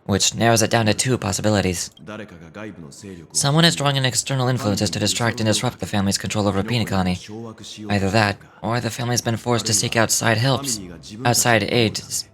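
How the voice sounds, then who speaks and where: A man playing a thoughtful, composed traveler in a video game, reading formal, measured lines with small stumbles. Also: on the low side, lightly acted. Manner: formal, measured, stumbles over scripted words. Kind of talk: live read-aloud of video game dialogue.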